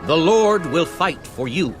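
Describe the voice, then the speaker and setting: An actor playing a steadfast, reassuring leader of his people in a dramatized audio Bible, with deliberate, declamatory delivery. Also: a little high, lively intonation, music in the background. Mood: reassuring, resolute. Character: Moses, steadfast reassuring male leader of Israelites.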